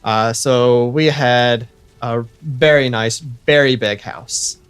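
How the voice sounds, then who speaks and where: A man's voice: medium pitch, takes it slowly, smooth, dry recording, faint music behind. A young American man chatting casually to viewers while he livestreams a building game, rambling and correcting himself as he goes. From United States. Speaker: streamer.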